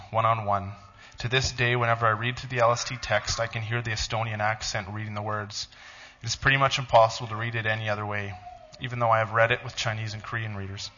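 A man, a pastor, reading a blog aloud to his church in a halting, emotional voice. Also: on the low side, bright, forceful tone, dull audio. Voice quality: tearful, halting.

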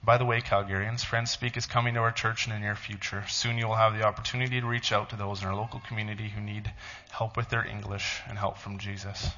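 A man, a pastor, reading a blog aloud to his church in a halting, emotional voice. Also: on the low side, level tone, bright, forceful tone, highs cut off. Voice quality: tearful, halting.

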